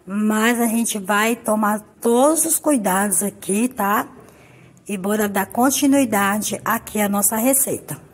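Hoarse chatty woman from Brazil. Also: mid-range voice, a little slow. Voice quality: husky. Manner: chatty, affectionate, repeats "tá, gente?". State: hoarse from a cold. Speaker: soap-making channel host. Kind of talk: casual home tutorial. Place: home kitchen counter. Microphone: phone camera on the table.

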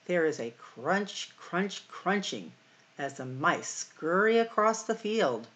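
A middle-aged woman hosting a library storytime, reading picture books aloud in a deliberate, expressive way for young children. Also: on the low side. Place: small room at home or office, indoors.

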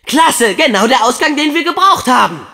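A man playing an eager, loyal teenage boy hero in a video game, with an expressive, earnest, youthful delivery. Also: very high-pitched, normal speed, gravelly, in a large hall, heavily acted. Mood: excited, urgent. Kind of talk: video game voice acting, German dub.

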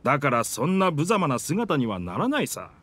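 A man voicing a proud, boastful talking pirate ship in a Japanese game dub, with theatrical, rough masculine speech. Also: a little high, huge pitch swings, moderate pace, fairly bright tone, heavily acted. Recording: music under the dialogue.

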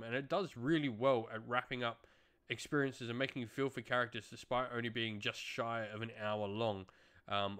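A man's voice: medium pitch, a bit bright, smooth. A young adult man giving a casual, talking-to-camera review as a channel host, recorded indoors in a home room.

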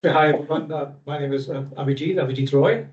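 A man from India with an Indian accent, introducing himself at a round-table meeting in a measured way. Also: fairly high pitch, fairly even intonation, darkish tone. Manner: measured, with frequent "uh" and "kind of". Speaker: panelist. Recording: distant, echoey, dull lo-fi room sound.

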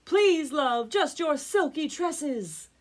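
A woman voicing a young, lovestruck, eager storybook prince with loud, drawn-out calls. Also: high-pitched, expressive, pitched above the performer's own voice. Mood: pleading loudly. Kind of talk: storybook character voice.

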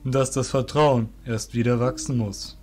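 A man voicing a wise, wary old fairy beast master, with a deep, rumbling voice and measured, formal speech. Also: fairly even intonation, darkish tone, natural voice. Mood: solemn distrust.

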